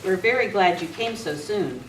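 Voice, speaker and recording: A woman's voice: mid-range voice, lively intonation, normal speed, close to an everyday voice. A woman playing a polite, concerned university president in an amateur radio script reading, with formal manners. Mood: gracious, businesslike. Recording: roomy, distant camera mic, faint noise.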